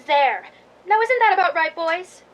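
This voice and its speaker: A woman's voice: very high voice, animated, a little slow, highs cut off, a little hiss or hum. A woman playing a spoiled, gushing Hollywood movie queen in a radio drama, with a theatrical, affected manner. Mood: airily bossy.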